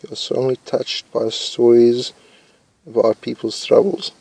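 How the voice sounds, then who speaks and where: A man's voice: mid-range voice, fairly even intonation, unhurried, creaky, slightly rough, dry recording. A young man casually reading personality test questions aloud and answering them, mumbling and thinking aloud with hesitations. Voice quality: says his voice is loud.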